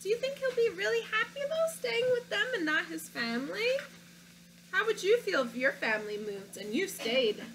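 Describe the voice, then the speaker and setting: Bright, lively woman teacher reading to kids. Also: very high-pitched, moderate pace, slight background noise, rough recording. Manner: gentle, asks children questions, sings a short song. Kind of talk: reading a storybook aloud to young children.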